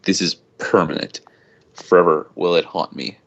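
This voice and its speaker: A man reading a first-person story aloud informally, halting now and then with loose asides. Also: sits low, steady tone. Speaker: amateur fan fiction reader. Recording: dull lo-fi home recording.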